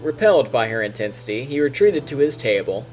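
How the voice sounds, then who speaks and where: Guy streamer reading a story out loud, super animated pitch, chatty asides, bit of music behind. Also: fairly quick, rough recording. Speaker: streamer reading a visual novel aloud. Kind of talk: expressive story narration with casual asides.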